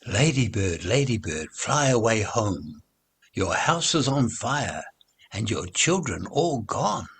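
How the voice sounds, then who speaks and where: A man reciting children's nursery rhymes in an expressive, theatrical, playful way. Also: lowish voice, slightly rough. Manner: slow, theatrical, playful delivery.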